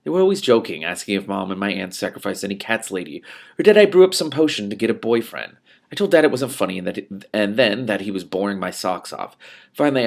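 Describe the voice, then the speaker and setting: A man's voice: fairly low pitch, creaky. A man reading a novel aloud expressively, breaking off now and then to add spontaneous comments of his own. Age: adult. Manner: animated, reacts aloud to the text and breaks off to comment. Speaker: reader and commentator of a book read-along show.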